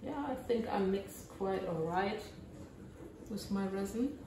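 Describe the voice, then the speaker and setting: A German woman with a German accent, narrating her resin crafting casually and often pausing to search for English words. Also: mid-range voice, roomy sound, far from the mic, noisy background.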